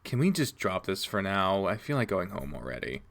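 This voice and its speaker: A man voicing a troubled, guarded teenage boy athlete in a low, subdued way. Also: very animated, moderate pace, a bit bright, heavily acted. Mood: quiet.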